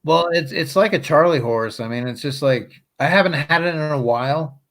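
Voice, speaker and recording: A man's voice: on the high side, moderate pace, a bit bright. A man who just turned fifty chatting in a group call, telling things in a loose, rambling way full of "like" and "you know". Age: 50s. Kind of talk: casual group chat.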